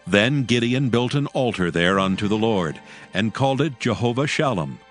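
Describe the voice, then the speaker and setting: A man reads scripture as the narrator of an audio drama. His voice sits fairly low, and the delivery is formal and measured, with soft music behind. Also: expressive.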